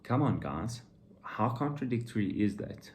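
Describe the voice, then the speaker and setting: A man's voice: on the low side, big pitch swings, unhurried, a little mellow. A middle-aged man giving an informal motivational monologue to viewers, speaking bluntly and with emphatic, opinionated repetition. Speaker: vlogger and entrepreneur giving motivational talk.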